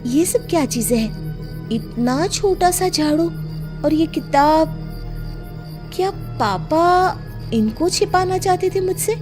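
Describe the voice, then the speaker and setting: A woman plays a young girl in a cartoon tale, curious and easily alarmed. The voice is high, with unhurried, slow delivery. Music plays faintly behind it. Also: expressive, heavily acted. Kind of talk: animated story voice acting.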